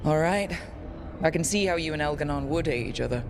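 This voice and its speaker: A performer playing a steady, perceptive team leader in a fantasy video game, speaking directly and conversationally. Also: medium pitch, a little fast, background noise, lightly acted. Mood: thoughtful. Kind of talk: naturalistic video game acting.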